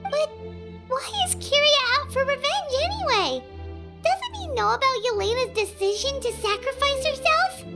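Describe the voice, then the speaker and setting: A tiny chirpy fairy sidekick. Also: female voice, very high voice, lively intonation, normal speed. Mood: puzzled. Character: small chirpy female fairy companion. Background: game music.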